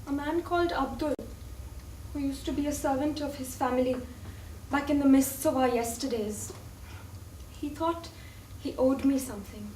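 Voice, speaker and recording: Actress as a guarded high-voiced woman, echoey stage. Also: normal speed, a little mellow, natural voice. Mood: defensive, confessing. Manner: defensive, guarded, emphatic. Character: guarded, wounded former sweetheart, defensive and proud. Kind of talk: theatrical stage play acting. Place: theatre stage, recorded from far off. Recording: distant echoey stage recording, faint noise.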